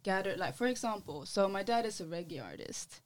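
A young adult woman from Sweden, a musician and model, talking casually as a podcast guest in a relaxed, rambling way full of "like". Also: mid-range voice, brisk. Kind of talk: casual interview chat.

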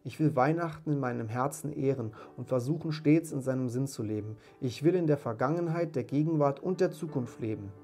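A man's voice: mid-range voice, brisk, few pauses, a little mellow, soft background music, pitched above the performer's own voice, close to an everyday voice. A man voicing a reformed old miser from a storybook, exclaiming with great emotion and emphasis. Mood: pleading. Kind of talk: dramatised storybook reading.

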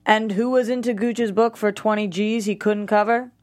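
A rambling, foul-mouthed slacker acted out by a woman at a comedy table read. The voice is mid-pitched and the rants are paranoid, delivered deadpan as plain fact. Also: normal speed, natural voice. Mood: deadpan insistence.

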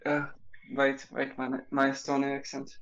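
A man's voice: mid-range voice, monotone, normal speed, creaky, fairly bright tone, dull audio. A man co-hosting a casual podcast, often restarting his words and playfully pushing back on the others. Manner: stumbling restarts, playful pushback. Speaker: podcast co-host. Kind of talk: casual chat.

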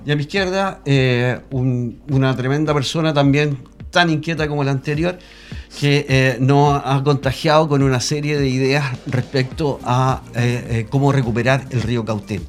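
A man's voice: mid-range voice, fairly even intonation, normal speed, faint music behind. A man hosting a talk show, speaking hesitantly with many fillers. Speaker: host.

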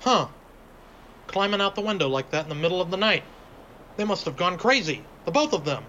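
A man's voice: sits high, lively intonation, fairly quick, bright, forceful tone. A man playing a nervous, superstitious small-town sidekick in old-time radio horror style, folksy, stammering and pleading. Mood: puzzled. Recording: noisy, dull homemade radio drama recording.

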